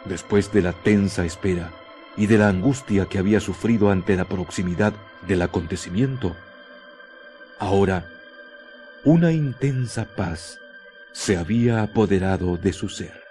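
Male narrator, fairly low pitch, dark mellow tone, solemn, deliberate dramatic pauses, faint music behind. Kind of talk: dramatic scripted radio story narration.

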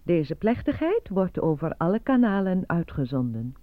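An actress in a radio play voicing a formal news announcer, reading a script precisely. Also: female voice, lowish voice, very animated, moderate pace, no echo. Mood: formal, official. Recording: dry, muffled lo-fi old radio recording.

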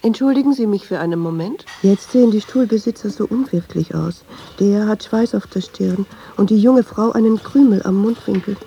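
A woman's voice: medium pitch, moderate pace, creaky, dark tone, highs cut off, a little hiss or hum, lightly acted. An actress playing a candid, uneasy middle-aged woman in a radio drama, speaking reflectively in short, observant clauses. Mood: uneasy, observing. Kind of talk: radio drama dialogue and inner monologue.

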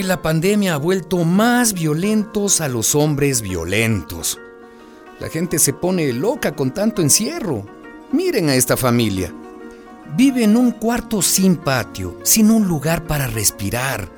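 A man's voice: fairly high pitch, sing-song, slow pace, faint music behind. A middle-aged man acting a folksy, wry neighbourhood father who narrates a radio drama. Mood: wry, lamenting.

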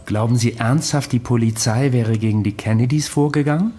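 An actor playing an insistent, cynical investigator in a radio drama, matter-of-fact and sceptical. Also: male voice, medium pitch, brisk, warm tone, lightly acted. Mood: bitter, insistent. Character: insistent, cynical male investigator, self-justifying.

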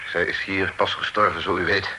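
A man's voice: low voice, flat delivery, a little fast, pushed and bright, slight background noise. An actor playing a grieving father who testifies in a grave, restrained way. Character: grieving father, a solemn witness. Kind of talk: period radio drama acting.

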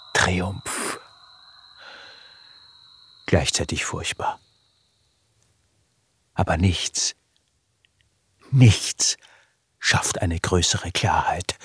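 An actor playing an obsessive, embittered male recluse in a radio drama monologue, brooding and insistent in halting phrases, with a breathy voice. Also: sits low, fairly bright tone, heavily acted. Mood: intense, brooding. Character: obsessive, embittered recluse, a man devoted to his study.

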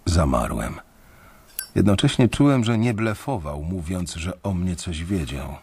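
A man's voice: deep voice, lively intonation, a little slow, a little mellow, a little hiss or hum. A young man narrating in the first person as a wary bank analyst, speaking in a restrained way in a radio drama.

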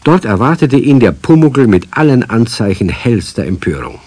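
A man as the genial narrator of a children's radio play, telling the tale in an expressive storytelling manner. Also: mid-range voice, moderate pace, no room sound. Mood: wry storytelling.

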